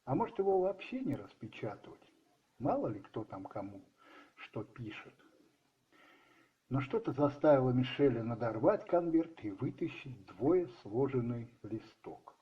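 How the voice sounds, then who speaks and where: A man's voice: mid-range voice, big pitch swings, unhurried. Theatrical male radio play narrator, dull recording. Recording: dull muffled sound with music behind. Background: music.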